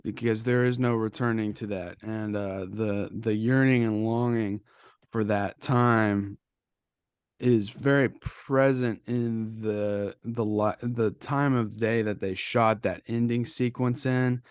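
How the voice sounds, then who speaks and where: Low, dry-recorded man, reflective film chat. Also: steady tone, clear, resonant tone, no echo. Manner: reflective, halting. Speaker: podcast co-host. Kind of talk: casual film discussion with friends.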